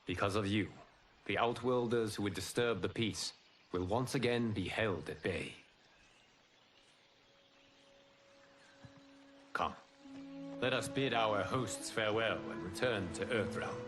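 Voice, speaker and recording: A man playing a serene fire god and wise protector, speaking in a measured, formal way in video game cutscenes. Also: fairly low pitch, natural voice. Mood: proud, composed. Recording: music behind the voice.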